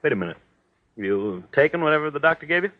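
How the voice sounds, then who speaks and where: An actor playing a tired, fussy, well-meaning American husband in 1940s radio drama, with rambling, hesitant, folksy patter. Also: male voice, a little high, big pitch swings, quick pace. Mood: sleepy reassurance. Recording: dull old radio drama recording.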